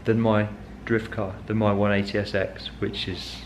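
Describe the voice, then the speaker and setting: A man's voice: on the low side, level tone, moderate pace, a little hiss or hum. A young man chatting casually in a car vlog, rambling with many ums and correcting himself as he goes. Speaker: car vlogger.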